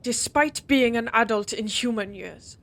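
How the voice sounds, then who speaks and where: A woman's voice: a little high, a little slow, a bit bright. A woman voicing a weary, imperious fantasy noblewoman in an audio roleplay, formal, stern and exasperated with those around her. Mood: exasperated command.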